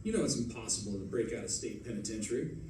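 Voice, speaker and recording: An actor playing a breezy, unworried detective husband in an old-time radio drama, dryly reassuring. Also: male voice, fairly low pitch, animated, quick pace, a little mellow, natural voice. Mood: unworried, wry. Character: suave, unworried, wisecracking male detective husband. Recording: echoey live stage, distant mic, faint noise.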